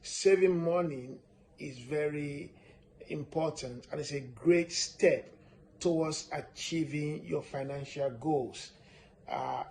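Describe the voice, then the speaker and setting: A man from Nigeria giving a steady, repetitive finance lesson, stressing his points with frequent "uh" fillers. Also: a little high, slight background noise. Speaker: personal finance presenter. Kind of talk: instructional talk to camera.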